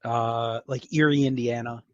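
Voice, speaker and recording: A man's voice: mid-range voice, steady tone, measured pace, dry recording. Middle-aged man chatting, slightly dull sound. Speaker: guest voice actor. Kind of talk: casual podcast chat.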